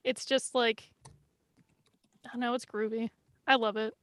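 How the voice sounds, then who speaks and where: A woman's voice: on the high side, level tone, a little slow, no echo. A woman from the Philadelphia area with an American accent, chatting casually and effusively as a podcast guest. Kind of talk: casual chat.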